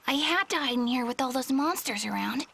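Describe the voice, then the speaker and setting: A woman's voice: high-pitched, lively intonation, fairly quick, creaky, fairly bright tone, breathy edge, exaggerated voice. A woman voicing a frightened, polite young rabbit boy in a video game. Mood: anxious. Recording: game sounds behind the voice.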